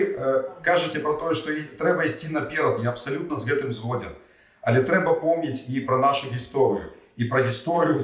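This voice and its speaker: A man's voice: mid-range voice, echoey room, distant mic. Indignant man lecturing in a debate. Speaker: debate candidate. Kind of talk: heated political debate.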